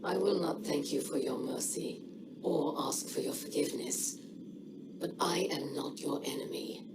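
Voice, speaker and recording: A woman voicing a proud, weary alien leader in a video game cutscene, solemn and deliberate, with a breathy rasp. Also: sits low, heavy background noise. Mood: bitter, defiant.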